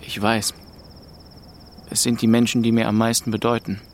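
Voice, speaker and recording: Actor as a deep, gravelly, withdrawn young man. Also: level tone, moderate pace, slight background noise, lightly acted. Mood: guarded. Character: grieving orphaned young man of twenty-two, aimless and withdrawn. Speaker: first-person narrator. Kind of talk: scripted narration in a radio drama. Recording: music behind the voice.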